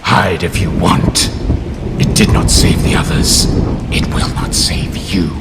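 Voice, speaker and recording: A man voicing a menacing undead killer inside a rotting machine, with a gravelly rasp and ominous, drawn-out threats, in a horror game style. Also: low-pitched, fairly even intonation, exaggerated voice. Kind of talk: horror game villain acting. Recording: heavy rain and thunder noise. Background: rain and thunderstorm.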